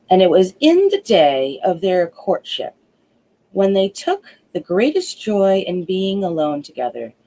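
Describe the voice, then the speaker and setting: A woman narrating a fairy tale as a storyteller, deliberate and dramatic. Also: on the low side, mellow, rough recording.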